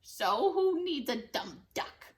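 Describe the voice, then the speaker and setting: Bossy, scheming hag witch for a storybook, high and wildly swooping, played by a woman. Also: big pitch swings, slow, full character voice. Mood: grumbling. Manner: theatrical, exclaiming, bossy. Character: cranky, scheming hag witch craving piggy pie. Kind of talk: storybook character voice.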